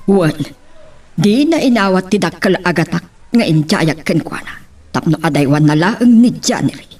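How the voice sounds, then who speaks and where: A woman's voice: lowish voice, expressive, normal speed, darkish tone, distant mic. A woman acting a haughty, scheming, middle-aged rich mother in an Ilocano romantic radio drama. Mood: regretful, coaxing.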